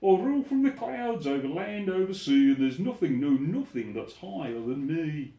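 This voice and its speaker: This is a vain, bossy turtle king from a storybook, as a reader puts him on. His voice sits high and carries loud and pompous. Every line is a boast, and the room around him rings with echo. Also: expressive, normal speed, close to an everyday voice. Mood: haughty shouting. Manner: shouting, pompous. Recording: roomy echo.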